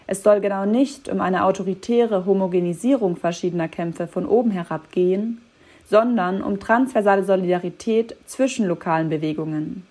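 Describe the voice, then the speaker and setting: A woman reading scripted documentary narration in a measured, formal manner. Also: mid-range voice, darkish tone.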